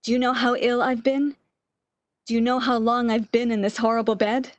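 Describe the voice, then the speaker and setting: A woman's voice: fairly high pitch, flat delivery, normal speed, no room sound, lightly acted. A woman playing a bedridden, lovesick woman in a radio romance, with breathless, emotional, old-fashioned melodramatic delivery. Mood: emotional, relieved. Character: bedridden lovesick woman, wistful, eager, longing for lost love.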